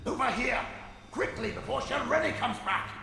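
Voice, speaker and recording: An actor playing a haughty, sardonic dead wizard's spirit in a video game, speaking in an imperious, theatrical way. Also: male voice, very high voice, animated, normal speed, vocal fry, pushed and bright, full character voice. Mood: urgent. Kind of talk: scripted video game acting. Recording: echoing cavernous reverb, faint noise.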